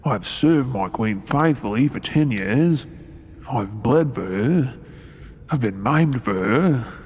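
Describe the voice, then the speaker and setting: A man's voice: medium pitch, lively intonation, slow pace, a little mellow, breathy edge. A man with an Australian accent playing a resentful undercover soldier posing as a royal servant in an audio role-play, brooding in asides and formally deferential in address. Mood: bitter, brooding. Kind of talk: scripted ASMR audio role-play acting.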